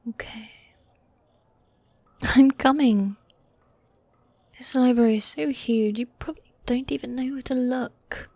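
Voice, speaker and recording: A woman voicing a teasing, tender demon girlfriend in a medieval fantasy ASMR roleplay, speaking in soft breathy whispers with formal, old-fashioned phrasing. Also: high-pitched, fairly even intonation, a little slow, long pauses, no room sound. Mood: affectionate teasing. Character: gothic demon girlfriend, teasing, tender, dominant yet needy.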